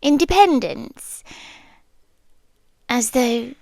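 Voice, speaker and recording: A British woman playing a possessive, nonhuman yandere girlfriend in an ASMR roleplay, speaking softly and deliberately and often slipping into breathy whispers. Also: sits high, animated, no room sound, full character voice. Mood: intense, devoted.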